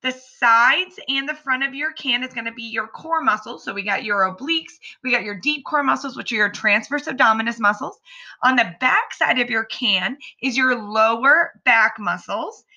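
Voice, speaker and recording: Bright, slightly raspy woman podcaster. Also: fairly high pitch, normal speed, dull audio. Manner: earnest, explanatory, encouraging. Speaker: podcast host and pelvic floor fitness coach. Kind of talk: solo instructional podcast.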